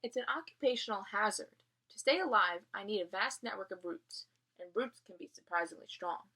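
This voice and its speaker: A woman reading a children's novel aloud and pausing to explain it in a casual way. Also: mid-range voice, animated, pushed and bright, slightly rough, rough recording. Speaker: reader of a children's novel read aloud, explaining as a teacher would. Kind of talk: read-aloud narration with casual explanatory asides.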